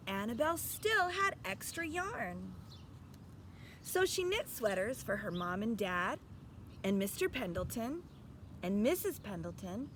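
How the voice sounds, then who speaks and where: Slow, high-pitched, animated woman reading a storybook. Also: a little hiss or hum. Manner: expressive, instructive. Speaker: teacher reading aloud. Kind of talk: storybook read-aloud with questions to young listeners.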